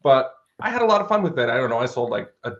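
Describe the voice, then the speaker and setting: A man's voice: mid-range voice, sing-song, rapid-fire, lo-fi sound. An American man in his thirties speaking as an interview guest, telling stories in a casual, enthusiastic and wordy way. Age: 30s. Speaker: interview guest, fantasy author. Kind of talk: casual conversational storytelling.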